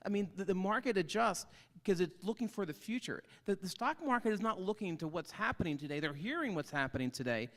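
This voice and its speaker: A man's voice: high-pitched, lively intonation, a little fast. A man on a conference panel arguing emphatically, repeating words for stress. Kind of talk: panel discussion.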